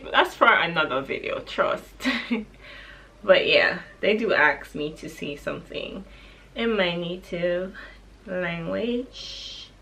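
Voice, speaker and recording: A woman's voice: mid-range voice, a bit bright, a little hiss or hum. A woman from Jamaica with a Jamaican accent, candidly chatting through a question-and-answer vlog, with easy laughs. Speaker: vlogger.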